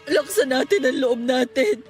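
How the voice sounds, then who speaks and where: A very high-pitched Filipino wife in an emotional radio drama. She grieves with a quavering, tearful voice yet stays steadfast and consoling, over soft background music. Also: animated, moderate pace. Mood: consoling through tears.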